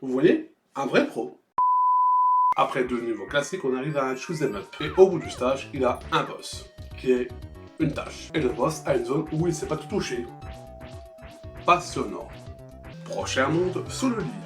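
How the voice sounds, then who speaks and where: A man's voice: mid-range voice, lively intonation, normal speed, long pauses. A man giving humorous scripted video game review narration, sarcastic and foul-mouthed. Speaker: host of a retro video game review show. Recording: roomy echo, music behind.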